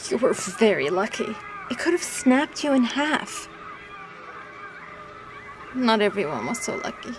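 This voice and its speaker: A sober survivor in an audio drama. Her slightly high voice moves a lot in pitch, with clear ambient noise behind her. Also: very animated, unhurried, pauses often. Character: sober female survivor recounting footage of a deadly attack. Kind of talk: naturalistic audio drama acting.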